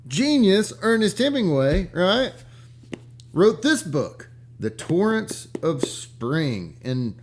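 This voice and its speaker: A man's voice: sits high, sing-song, slow pace, a little hiss or hum. A man casually rambling through stories like a vlog host, irreverent and slangy with some swearing, often stopping to repeat his words. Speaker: vlog host and book collector. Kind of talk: casual rambling storytelling about rare books.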